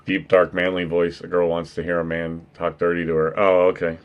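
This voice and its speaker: A deep-voiced man hosting a laid-back live stream, talking straight to his chat. He jokes around, swears freely and breaks into laughter often. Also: level tone, brisk, clear, resonant tone, lo-fi sound. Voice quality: naturally deep voice. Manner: joking and profane, laughing often. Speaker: streamer and podcast host.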